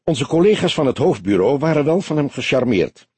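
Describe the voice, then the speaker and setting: A man's voice: medium pitch, very animated, fairly quick, mellow, breathy edge, no room sound, pitched above the performer's own voice. A man reading the part of a young, keen police detective and sidekick in an audiobook, earnest and informative.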